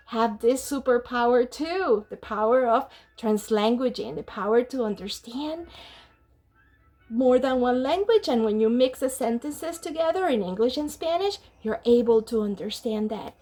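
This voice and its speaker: High-pitched woman teacher, bilingual Spanish-English. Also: darkish tone. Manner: bilingual, mixes Spanish and English mid-sentence; addresses children with questions. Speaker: dual language teacher. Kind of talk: children's read-aloud with friendly explanations.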